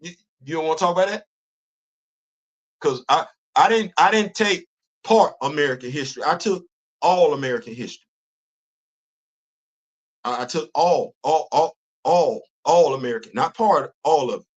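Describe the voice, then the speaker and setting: A man in his fifties holds forth on a casual livestream, animated and preachy, circling back over his words with restarts and repetitions. His voice sits a bit high for a man, and he speaks into a phone. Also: moderate pace, pauses often. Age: 50s. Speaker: host of a commentary livestream.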